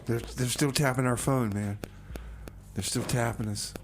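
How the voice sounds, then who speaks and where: A man hosting a comedy radio show with excitable, rambling, casual banter, playing a scrappy bunker survivor. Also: medium pitch, a little slow, background noise. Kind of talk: improvised comic banter, casual radio chat.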